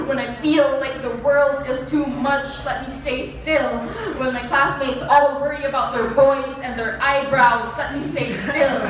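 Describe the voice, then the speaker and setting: A woman's voice: sits high, recorded from a distance. A young woman with a Filipino accent performing spoken word poetry live. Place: live performance venue, heard from a distance. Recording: echoey venue from afar, noisy.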